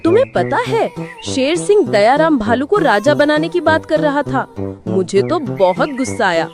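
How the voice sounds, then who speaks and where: A woman's voice: high voice, very animated, normal speed. A woman voicing a cunning, manipulative female fox in a cartoon, with dramatic delivery. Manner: sly, manipulative, theatrically dramatic. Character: cunning scheming female fox plotting to rule jungle. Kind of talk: Hindi cartoon voice acting. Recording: background music behind the voice.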